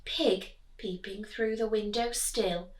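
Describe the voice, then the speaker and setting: A woman teacher reading a rhyming storybook aloud to children in a sing-song, animated way, with little asides to her listeners. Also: medium pitch, slow, far from the mic. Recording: echoey room, voice from the mic.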